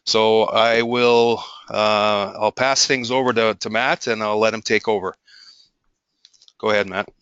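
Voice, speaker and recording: Bright, crisp host voice, muffled. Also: male voice, on the low side, steady tone, no room sound. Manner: halting introduction with fillers. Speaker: host introducing speaker.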